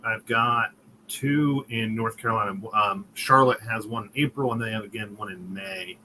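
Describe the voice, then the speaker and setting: A man's voice: on the low side, normal speed, pushed and bright, a little hiss or hum, low-quality recording. A man from Connecticut, a comic creator, chatting casually in an interview and acting out quotes as he tells stories. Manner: storytelling, chuckling, acting out quotes.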